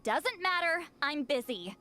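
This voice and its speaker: A woman's voice: high-pitched, lively intonation, a little slow, bright, forceful tone, a little hiss or hum, exaggerated voice. A woman playing a proud, aloof great shaman in an anime game, haughty and easily flustered. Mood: huffy. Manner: haughty, hesitant when flustered.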